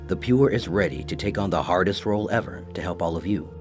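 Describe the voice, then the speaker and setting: A man as a deep, pompous announcer. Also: fast, natural voice. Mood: solemn. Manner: pompous, solemn. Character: grand, earnest commercial announcer. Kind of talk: dramatic promotional narration. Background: music.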